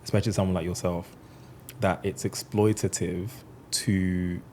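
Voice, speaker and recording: A man's voice: sits low, flat delivery, normal speed, darkish tone, slight background noise. A man hosting a podcast, asking long, reflective questions in a thoughtful way. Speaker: podcast host. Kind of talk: conversational podcast interview.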